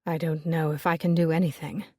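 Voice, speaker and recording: Woman reading an earnest lovesick young gentleman, lowered and hushed, mellow and dry, storybook. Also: flat delivery, a little fast, husky, dry recording.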